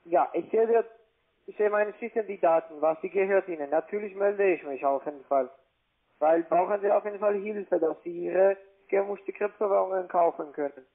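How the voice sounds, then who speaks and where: High-pitched foreign-accented man on phone. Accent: foreign accent in German. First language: not German. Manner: halting, repetitive, badgering, broken grammar. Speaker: cold caller from a call centre. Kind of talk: argumentative phone conversation. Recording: narrow, tinny phone line.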